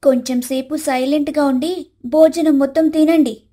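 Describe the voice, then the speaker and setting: Lady doing a spiteful young cartoon wife, high voice, curt, sharp tongue. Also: fairly even intonation, normal speed, natural voice. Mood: pushy.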